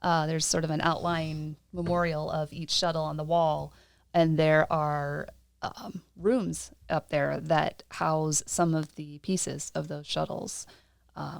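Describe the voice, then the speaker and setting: Low-voiced, smooth woman co-host. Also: no room sound. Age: 40s. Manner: chatty, laughs often, many ums. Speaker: podcast co-host. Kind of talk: casual podcast chat.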